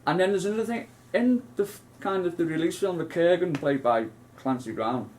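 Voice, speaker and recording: A man's voice: high voice, brisk, a little mellow, a little hiss or hum. A man, co-hosting a casual film chat, rambling with many restarts and asides. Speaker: co-host.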